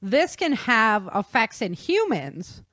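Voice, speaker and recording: A woman's voice: fairly high pitch, very animated, slow, a bit bright, no echo, clean studio sound. A woman hosting a podcast, explaining things casually in an informal, nerdy-enthusiast way. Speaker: podcast host.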